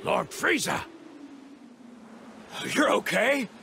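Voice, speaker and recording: Shrill, gravelly alien henchman. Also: sing-song, drawn-out words, pushed and bright, slight background noise, exaggerated voice. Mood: deferential. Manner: servile to his lord, theatrical. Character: shrill, raspy, fawning alien henchman, boastful. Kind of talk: dramatic video game voice acting.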